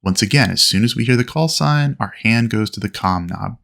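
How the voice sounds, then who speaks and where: A man with a fairly low voice narrates as a flight instructor. He explains methodically, in an orderly teaching style suited to a flight training lesson. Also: brisk, a bit bright. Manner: clear, methodical explaining with aviation radio phraseology.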